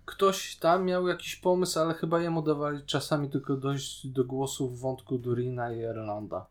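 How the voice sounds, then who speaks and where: A man's voice: medium pitch, steady tone, normal speed. A man co-hosting a podcast, casually critiquing a series in an opinionated, sarcastic way. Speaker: podcast co-host reviewing a TV series.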